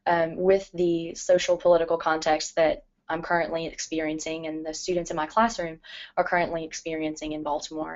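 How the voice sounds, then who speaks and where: A woman in her thirties from Louisiana, a literacy professor presenting to educators in a scratchy, allergy-worn voice, with many ums. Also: fairly low pitch. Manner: frequent ums, careful and earnest. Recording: dull lo-fi recording.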